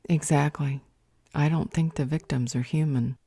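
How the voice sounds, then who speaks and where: Very low-voiced, analytical sorceress acted by a woman. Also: fairly even intonation, moderate pace, mellow, husky, no echo. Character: serious, analytical female magic user. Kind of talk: audio roleplay acting.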